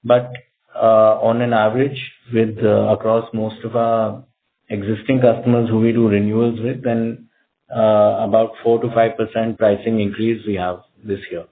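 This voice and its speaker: Male executive, lowish pitch, measured and explanatory with hesitations, phone-quality audio. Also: level tone. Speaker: company executive. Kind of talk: earnings call answers. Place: conference call. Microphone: telephone. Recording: tinny narrow-band phone line.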